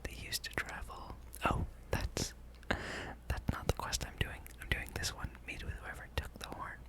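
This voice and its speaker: A person whispering an ASMR gameplay ramble as host, thinking aloud. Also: measured pace. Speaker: gaming channel host.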